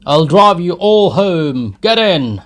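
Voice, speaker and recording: A man's voice: sits high, sing-song, slow, a bit bright, voice pushed up, exaggerated voice. A man voicing a drunken, boastful young peasant who bellows at his friends. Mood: boastful shouting. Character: drunken young male peasant, loud brute.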